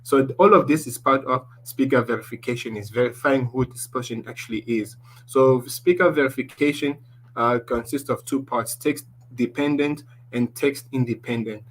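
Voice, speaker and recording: Male presenter with a deep voice, mid pitch, teaching a tech class over a faint hum, restarts often. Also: normal speed, a bit bright, poor audio. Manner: explaining slides, restarts often, ends points with "basically". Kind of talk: online tech lesson.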